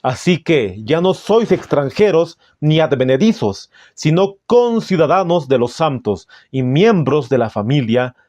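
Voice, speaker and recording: A man's voice: a little high, very animated, unhurried, hardly any pauses, darkish tone, no room sound. Bolivian man preaching, dry close sound. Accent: Bolivian Spanish. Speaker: Adventist preacher. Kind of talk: sermon with scripture and book readings.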